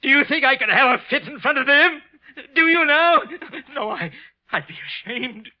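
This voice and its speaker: An actor playing a deranged, obsessive murderer in 1940s radio thriller style, repeating himself from mutters to shrill frenzy. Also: male voice, lively intonation, moderate pace. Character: deranged murderer clerk, gibbering, possessive of imagined voices. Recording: dull old radio recording.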